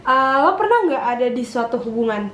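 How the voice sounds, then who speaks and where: Very high-voiced young woman moderating. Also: sing-song, fairly quick, fairly bright tone. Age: young adult. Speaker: discussion moderator. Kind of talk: casual interviewing. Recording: very roomy, faint noise.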